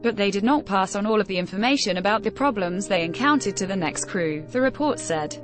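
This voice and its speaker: A woman's voice: medium pitch, animated, a little fast. Woman reading news over music. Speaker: news article narrator. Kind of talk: scripted news narration, read aloud. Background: background music.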